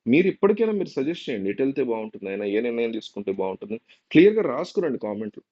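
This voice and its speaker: Man, very quick delivery, mellow tone, explanatory political commentator, repeats for emphasis. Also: medium pitch, big pitch swings, no room sound, muffled recording. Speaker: political news commentator and video host. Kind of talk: informal political commentary to viewers.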